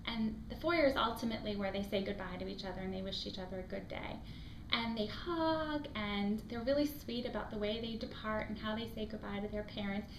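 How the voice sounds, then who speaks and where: A woman's voice: mid-range voice, fairly quick. A woman from Afghanistan, raised in the United States, with an American accent, who works as a school admissions director and answers interview questions in an earnest, reflective way with frequent ums. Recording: echoey room with background noise.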